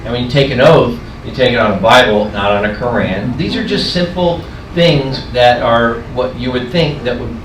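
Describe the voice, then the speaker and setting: A man's voice: medium pitch, normal speed. A man in his forties addressing a community meeting in a plainspoken, hesitant way. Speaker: meeting attendee. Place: diner dining room. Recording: roomy, distant, noisy diner.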